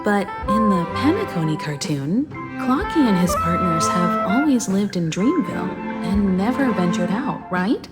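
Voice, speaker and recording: A woman playing a polite, measured young female travelling companion in a video game. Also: mid-range voice, very animated. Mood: gentle, interested. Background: background music.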